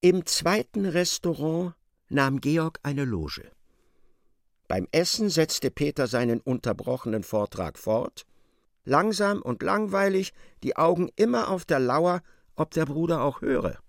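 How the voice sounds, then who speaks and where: Casting: articulate man for literary radio-play narration, lively and expressive, dry close-miked studio delivery. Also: fairly high pitch, measured pace, creaky, no echo. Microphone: close studio microphone.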